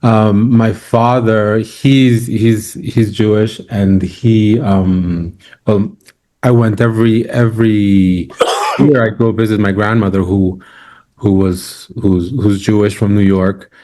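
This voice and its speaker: Male guest from Ecuador, low voice, hesitant and conversational, video call. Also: steady tone, slow, dark tone. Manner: hesitant, frequent restarts. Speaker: guest author. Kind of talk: conversational interview.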